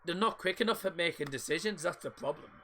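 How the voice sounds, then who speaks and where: A man, voice croaky from a sore throat, giving casual live football commentary and rambling chat on his own stream. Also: on the high side, quick pace, pushed and bright, a little hiss or hum. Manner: casual, rambling, mixes up names.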